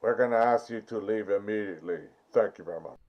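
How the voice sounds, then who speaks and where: A man voicing a stern villain boss in a skit, formal, cold and measured, over dull, muffled sound. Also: lowish voice, lightly acted. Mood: coldly disapproving.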